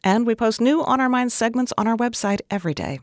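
A radio announcer reads a scripted segment wrap-up in a crisp, even delivery. The sound is dry and close, with no room around it. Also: medium pitch, quick pace, dry recording.

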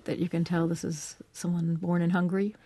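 A woman interviewing a pianist, asking curious, measured questions. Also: lowish voice, level tone, dark tone, husky, dry recording. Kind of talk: radio interview.

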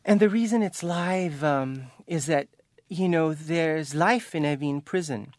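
A man's voice: on the high side, a little slow, a little mellow, no room sound, studio quality. An Iranian-American man, an author, speaking earnestly and passionately in an interview, often restarting and saying "you know.". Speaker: author and guest. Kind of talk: impassioned interview talk.

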